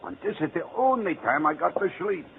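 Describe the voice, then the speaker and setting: A man's voice: high-pitched, very animated, fairly quick, a crowd in the background. Tinny old-radio indignant night watchman. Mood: defensive. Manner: broken English, protesting. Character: put-upon foreign-born night watchman, indignant working man. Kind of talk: 1940s radio acting. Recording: tinny, noisy 1940s radio recording.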